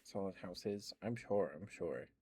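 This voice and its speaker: Slow, low-voiced man rambling softly. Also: a little hiss or hum. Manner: soft rambling talk with many fillers and laughs. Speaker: ASMR streamer. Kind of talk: rambling ASMR chat.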